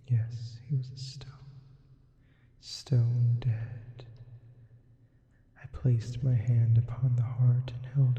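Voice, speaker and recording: Man as a slow, whispering, reverb-drenched demon. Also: mid-range voice. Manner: slow, hushed, deliberate, drifting into whispers. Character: sleep paralysis demon reading a dark tale, sinister. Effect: added reverb. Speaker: ASMR narrator. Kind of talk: whispered ASMR reading of a Gothic horror story. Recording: muffled, heavy long reverb.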